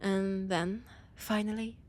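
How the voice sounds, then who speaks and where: A woman with a French accent playing an ancient, proud, teasing vampire scholar in an audio roleplay, deliberate and often dropping into breathy whispers. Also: mid-range voice, fairly even intonation, darkish tone, natural voice. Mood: fond, amused. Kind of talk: scripted roleplay audio drama monologue.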